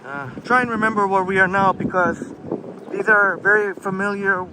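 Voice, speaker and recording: A man's voice: high-pitched, normal speed. A man hosting a walking livestream, rambling casually and reading out viewer comments from the chat. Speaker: livestream host. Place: outdoors on city streets near a lake. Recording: wind noise on the mic outdoors.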